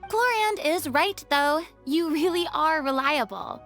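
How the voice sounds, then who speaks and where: Game casting: a cheerful, frank young companion, high animated voice, music behind. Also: female voice, measured pace. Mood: friendly. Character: friendly, candid young woman, sociable and cheerful. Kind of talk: game voice acting.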